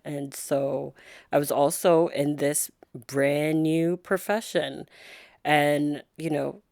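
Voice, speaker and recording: A woman nurse talks as an interview guest in a low voice. She speaks slowly and thoughtfully, pausing often with soft fillers. The sound is dry and close. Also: gravelly, no echo. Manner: soft-spoken, thoughtful, with frequent pauses and fillers. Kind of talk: reflective interview conversation.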